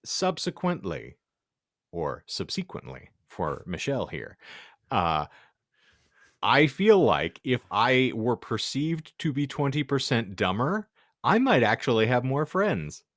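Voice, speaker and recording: A man's voice: medium pitch, big pitch swings, slow, fairly bright tone, no room sound, clean studio sound. A middle-aged man hosting a casual podcast, speaking in elaborate, wordy phrases with a self-deprecating manner. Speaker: podcast host. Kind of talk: casual panel podcast talk.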